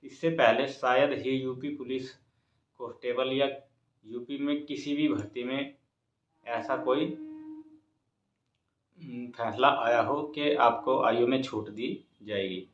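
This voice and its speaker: A man's voice: mid-range voice, steady tone, slow pace, pauses often, slight background noise. A man giving students an informal exam update in a repetitive, encouraging manner. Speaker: exam coaching YouTuber and host. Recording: echoey room, dull muffled sound.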